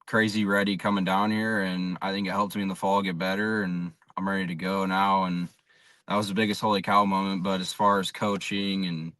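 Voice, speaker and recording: Young American male athlete, unassuming interview answers, very low pitch, dry close recording. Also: flat delivery, brisk, bright, forceful tone, dry recording. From South Dakota, USA. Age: young adult. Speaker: college baseball pitcher, interview guest.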